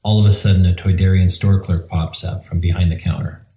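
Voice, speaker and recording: A man's voice: low voice, flat delivery, fairly quick, warm tone. A man reading scripted scene directions as the narrator of an audio drama, with a roomy echo.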